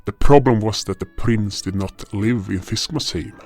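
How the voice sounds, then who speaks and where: A man's voice: fairly low pitch, moderate pace, darkish tone, no echo. A man playing a solemn, powerful guardian hero who narrates his journey in a fantasy audio drama. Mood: brooding. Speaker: narrator and lead actor. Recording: music behind the voice. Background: music.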